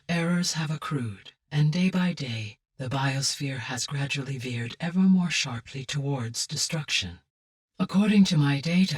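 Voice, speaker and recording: Casting: a calm female video game AI, low and breathy-husky, measured, exact and formal, heard slightly off-mic. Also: far from the mic, full character voice. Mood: measured, firmer. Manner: measured, formal, precise diction. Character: calm, analytical female artificial intelligence. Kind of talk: scripted video game dialogue.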